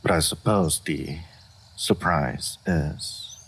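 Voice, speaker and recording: Man playing a very deep, gravelly, aloof regal dragon. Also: a bit bright, exaggerated voice. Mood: cool, curious. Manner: formal, measured, stoic speech with deliberate pauses. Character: regal, aloof male dragon in human form, wise and guarded. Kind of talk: scripted fantasy audio roleplay.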